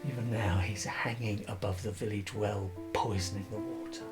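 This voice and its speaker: An actor playing a weary, embittered but loyal revolutionary husband in a theatrical period drama, speaking gravely with halting emphasis. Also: male voice, lowish voice, steady tone, lightly acted. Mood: grave indignation. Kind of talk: dramatised classic novel, theatrical acting. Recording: guitar music behind the voice.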